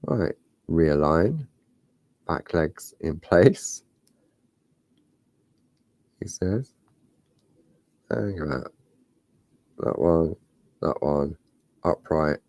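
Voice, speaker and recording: A man with a slightly croaky voice, demonstrating a parchment craft step by step and chatting to himself as he works. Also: extremely low pitch, animated, very slow, long pauses, a little mellow, dry recording. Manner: chatty, talks to himself while working. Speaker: craft tutorial host.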